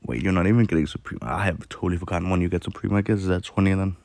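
A man with a sore, hoarse throat, rambling through casual commentary while playing a mobile game, with lots of fillers and restarts. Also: low voice, steady tone, racing, darkish tone, no room sound.

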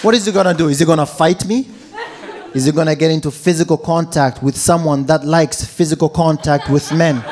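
A young man performing a stand-up set on a club stage into a handheld mic, animated and switching between voices. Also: fairly high pitch, a little fast. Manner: animated, slips into Romanian voices. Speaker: stand-up comedian. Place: comedy club stage with live audience.

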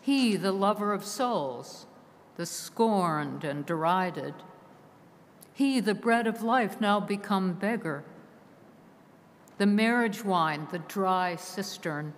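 A woman delivers a sermon at a very slow, deliberate pace, letting her phrases fall away at the ends. Her voice sits mid-range. It rings out through a large stone church with a long, lingering reverb. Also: mid-range voice, lively intonation. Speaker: preacher.

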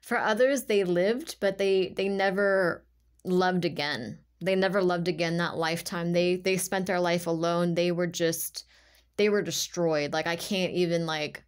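A woman with a slightly low voice gives an informal tarot reading as a monologue. She talks in a halting, emphatic way, repeating phrases as she feels her way through and leaning often on the word "like". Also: fairly even intonation, smooth. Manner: halting, repetitive, emphatic, filler-heavy with "like". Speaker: tarot reader, channeler.

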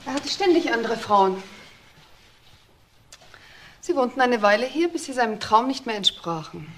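A woman's voice: a little high, lively intonation, moderate pace, a little hiss or hum, close to an everyday voice. A woman playing a cool, bitter divorced wife in a crime radio drama, composed, detached and curt.

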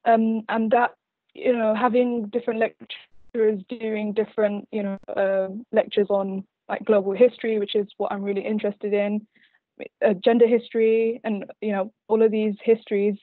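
A woman's voice: medium pitch, flat delivery, moderate pace, no room sound. A young woman history student on a video call, hesitant, with many "um" and "you know". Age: young adult. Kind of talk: casual chat on video call. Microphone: laptop webcam on video call. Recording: dull, dry webcam audio.

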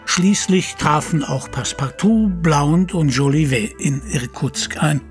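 A man's voice: a little high, a little slow, faint music behind. A man narrating an adventure audio drama in a dramatic, articulate storytelling style.

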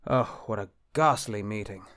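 An actor playing a dejected, earnest bishop, formal and exasperated, in period drama style. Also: male voice, fairly low pitch, moderate pace, a bit bright, no room sound, lightly acted. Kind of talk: period radio-drama acting.

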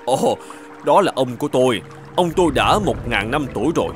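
A man voices a young, obliging talking eagle in a fairy-tale cartoon. His lines are full of pitch movement. Quiet music runs behind. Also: lively intonation, normal speed, natural voice. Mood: grateful. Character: grateful helpful young male talking eagle.

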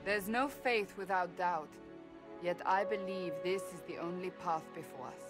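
Actress as a stern, solemn warrior woman, low-key music. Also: a little slow, lightly acted. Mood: earnest resolve. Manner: solemn, declarative. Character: stern, devout warrior woman, earnest and resolute. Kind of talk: dramatic game cutscene acting.

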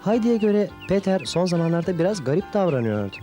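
A man narrating a children's cartoon in a scripted storytelling style with measured phrasing. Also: high-pitched, very animated, over music.

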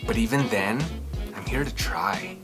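Male storybook presenter, thirties, expressive and theatrical, lively swings in pitch, faint music behind. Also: measured pace. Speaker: children's book reviewer and host. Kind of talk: storybook read-aloud and review to camera. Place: small furnished room at home, indoors.

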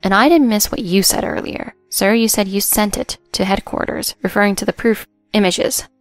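An indignant woman employee of an audio story, voiced by a woman narrator. She is insistent and accusing, creaky, recorded dry over music. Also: medium pitch, moderate pace, dry recording, close to an everyday voice. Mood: pressing. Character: indignant young woman employee standing her ground.

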